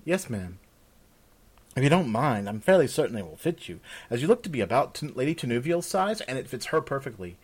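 A man's voice: mid-range voice, very animated, a little fast, husky. A man voicing an eager, deferential young servant girl with polite, old-fashioned speech in a fantasy story. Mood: eager, reassuring. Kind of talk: fantasy audiobook character.

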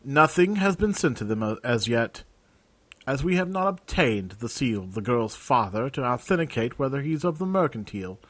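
A man's voice: medium pitch, big pitch swings, a bit bright, no room sound. A man voicing a fussy, penny-pinching merchant official, formal and clipped. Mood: prim. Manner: prim, quick and formal.

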